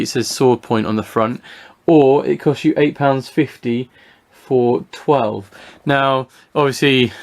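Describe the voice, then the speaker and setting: A British man giving a casual, unscripted hobby review, talking through what he shows in a hesitant, rambling way, thinking aloud. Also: mid-range voice.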